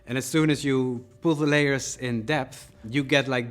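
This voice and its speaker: A man's voice: mid-range voice, steady tone, measured pace. A man casually explaining his work as a developer in an interview, informally thinking aloud. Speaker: creative developer. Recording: music behind the voice.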